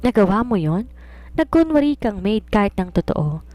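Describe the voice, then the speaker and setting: A middle-aged Filipina mother in a romance story, acted by a woman narrator. She is easily flustered and fusses in bewilderment, her timbre soft and dark. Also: medium pitch, big pitch swings, normal speed, no room sound, close to an everyday voice. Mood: astonished, worried. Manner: bewildered, fussing. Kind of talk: storybook character reading.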